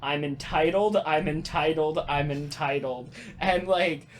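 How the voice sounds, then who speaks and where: A man with a fairly high voice talks casually on a podcast, animated and halting as he tells stories, swearing freely. There is some background room noise. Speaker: podcast host and voice actor. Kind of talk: casual podcast chat and storytelling.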